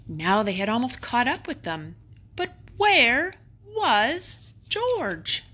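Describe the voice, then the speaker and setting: A woman reads a children's story aloud as a bedtime narrator, expressively, with dramatic emphasis and drawn-out key words. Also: a little high, big pitch swings, bright, forceful tone, slightly rough. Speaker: storybook reader.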